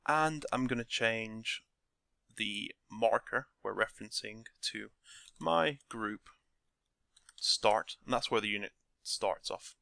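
A man with a croaky voice talking through a game scripting tutorial step by step from his desk. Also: on the low side, slow, no room sound. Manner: relaxed step-by-step explaining. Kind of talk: screen-recorded tutorial narration.

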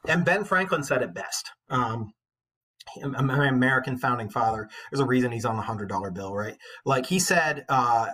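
Male English teacher, forties, forceful and a little husky, conversational lecture delivery with earnest emphasis. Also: mid-range voice, expressive, moderate pace. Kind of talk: informal literature lecture.

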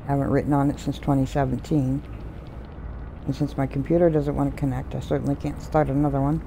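A middle-aged woman streaming a game from home on a desk mic, talking softly in meandering, complaining asides. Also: extremely low pitch, little pitch movement, fast talker, creaky, slight background noise. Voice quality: soft voice. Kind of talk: rambling casual commentary. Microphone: desk mic with headphones. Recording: loud game music behind voice. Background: game music.

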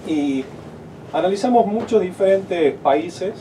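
A man's voice: high voice, lively intonation, mellow. A middle-aged man with an Argentine accent gives an unscripted thank-you speech at an outdoor ceremony, full of fillers and restarts. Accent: Argentine Spanish. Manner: halting, many filler words and restarts. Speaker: company executive giving a speech. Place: outdoors at a groundbreaking ceremony under a tent. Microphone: handheld mic at a podium, filmed from afar. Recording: distant outdoor camera audio with noise.

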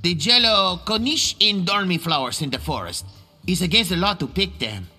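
A man's voice: sits high, expressive, moderate pace, bright, forceful tone, breathy edge, faint music behind, exaggerated voice. A man playing a wretched, pleading prisoner in a cartoonish video game, whiny and theatrical. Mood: chatty, rueful. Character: pleading, foul-breathed, wretched male jailbird.

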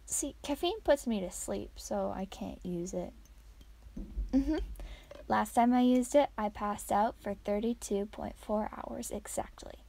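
A woman playing a friendly, absent-minded part-dragon traveler in an ASMR roleplay, soft-spoken, chatty and musing. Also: mid-range voice, unhurried, gravelly. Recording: faint noise, clicking sounds, outdoors.

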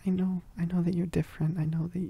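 A young woman, crying, speaking softly and haltingly in a girlfriend comfort roleplay, apologizing to the listener between whispers. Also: lowish voice, flat delivery, creaky, slightly rough. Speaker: audio roleplay performer.

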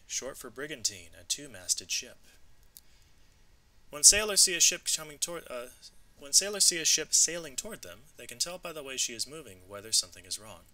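Male read-aloud narrator, mid pitch, very bright sharp voice, expressive storybook and lesson delivery. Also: rough recording. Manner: expressive, clearly emphasised reading. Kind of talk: educational storybook narration with vocabulary asides.

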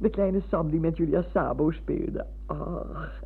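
Elderly teacher, husky voice, warm, chatty, exclaiming, radio drama, dull narrow-band sound. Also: female voice, sits low, slow, exaggerated voice. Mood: moved, amazed. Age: older. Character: elderly former schoolmistress, warm, chatty, excitable wife. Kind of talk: Dutch radio play acting. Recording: muffled lo-fi old radio recording.

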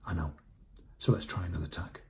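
A man voicing a polite, calm, determined schoolteacher in a storybook, reassuring in manner. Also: sits low, brisk, creaky.

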